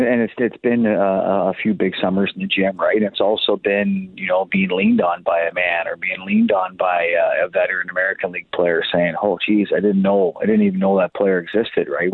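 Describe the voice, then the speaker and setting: A man speaking as a hockey head coach in a radio interview, explaining in a halting way and repeating words as he goes. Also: low-pitched, slightly rough, no echo. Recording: phone-like thin band-limited line.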